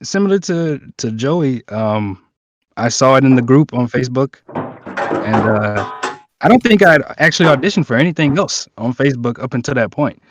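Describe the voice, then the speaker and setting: A man's voice: medium pitch, animated, moderate pace, dry recording. A man in a cast call telling an anecdote in an informal, self-deprecating way. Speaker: voice actor, cast member. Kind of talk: casual anecdote in group chat. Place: online voice call.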